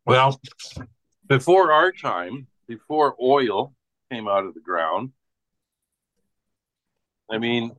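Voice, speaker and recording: A man's voice: medium pitch, expressive, slow pace, long pauses, a bit bright, no room sound, dull audio. A man talking casually and informally in conversation. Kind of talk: casual chat.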